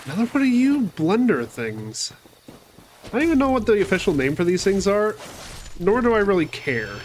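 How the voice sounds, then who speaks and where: A man with a cold talks casually over his own gameplay, chatty and a little rough-mouthed. His voice sits a bit high for a man. A faint hiss of noise runs underneath. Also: big pitch swings, normal speed, a little mellow. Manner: chatty, swears casually, talks to enemies on screen. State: fighting a cold. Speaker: gaming channel host. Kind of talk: casual let's-play commentary.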